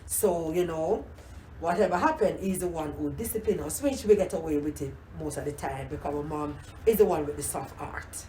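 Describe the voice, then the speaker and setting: A woman's voice: sits low, lively intonation, moderate pace, echoey room, a little hiss or hum, rough recording. An older grandmother from Jamaica with a Jamaican accent, reminiscing in a casual interview and often repeating herself. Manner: warm reminiscing, repeats words, many "you know"s. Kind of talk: casual oral history interview.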